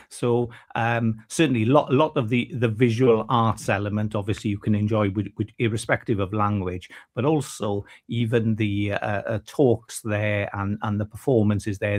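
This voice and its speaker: A man, speaking as a guest on a breakfast radio show. His voice sits a little low, and he talks haltingly, with many fillers and restarts. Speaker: festival committee vice chair. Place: radio studio.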